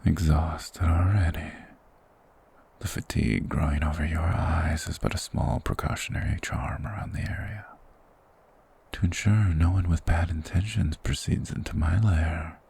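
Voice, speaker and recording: A man voicing a sinister, soothing forest spirit in a fantasy ASMR roleplay, speaking in a hushed, formal, hypnotic and coaxing manner. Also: very deep voice, steady tone, a little slow, exaggerated voice. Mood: soothing, persuasive. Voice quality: gravelly, breathy whisper.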